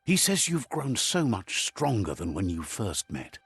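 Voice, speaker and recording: A man narrates a video game like a storybook, relaying what each character says in a measured way. His voice sits low but moves with a lively, expressive melody. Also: gravelly, husky.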